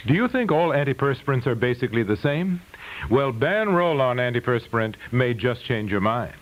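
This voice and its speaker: A man's voice: medium pitch, animated, moderate pace. A man announcing a scripted commercial and program credit in vintage radio style. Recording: dull vintage radio broadcast, music behind. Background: music.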